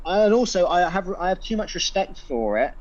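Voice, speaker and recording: A man in his twenties from England hosting a casual podcast chat, talking haltingly with frequent restarts. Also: high-pitched, very animated, slight background noise. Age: 20s. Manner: hesitant, halting, with many restarts. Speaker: podcast host. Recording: dull lo-fi sound.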